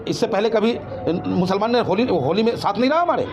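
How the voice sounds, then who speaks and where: Guy, pretty high voice, worked up and indignant giving his opinion in an interview, really noisy background. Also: lively intonation, brisk. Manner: emphatic, indignant, rhetorical questions. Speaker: interview guest, commentator. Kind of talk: impassioned street-interview opinion talk.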